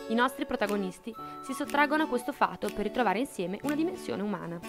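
A young woman reading scripted narration to introduce a radio drama. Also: medium pitch. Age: young adult. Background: guitar music.

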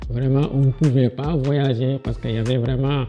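A middle-aged man with a Senegalese French accent, answering interview questions from his office with halting restarts and stories told with growing energy. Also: mid-range voice, steady tone, mellow, muffled recording, soft background music. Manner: halting restarts and repeated words, livelier when telling stories. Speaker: education official interviewed as guest. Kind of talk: interview answers, personal anecdotes. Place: office with large window, indoors. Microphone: lapel mic.

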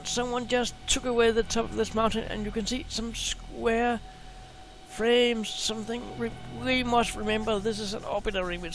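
A man hosting a space video, talking casually and excitedly over a screen share, often repeating words. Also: extremely high pitch, gravelly, rough recording.

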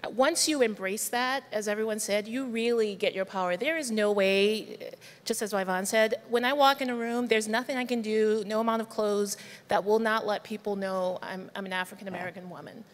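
Woman panelist, emphatic and warm-humored; stage mic, long hall reverb. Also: medium pitch, moderate pace. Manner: earnest, emphatic, laughs wryly. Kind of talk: panel discussion. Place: large conference hall stage. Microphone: handheld mic.

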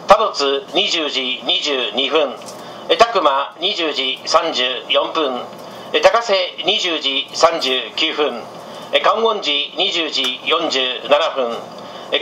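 A man's voice: fairly high pitch, expressive, slow, pushed and bright, low-quality recording. A man working as a train conductor, making polite, formulaic public announcements over the train's speakers. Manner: polite, formulaic, reciting station lists. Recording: train PA with clear background noise.

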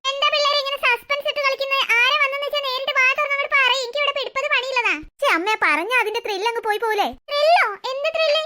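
A woman's voice: very high-pitched, rapid-fire, hardly any pauses, sharp tone, a little hiss or hum, full character voice. A woman voicing a busy mother who scolds and calls out impatiently from the kitchen.

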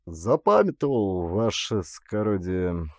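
A man voicing a hulking convict turned jail guard in an audiobook, with a slow, lazy drawl. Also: deep voice, very animated, no room sound, studio quality, exaggerated voice. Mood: deferential.

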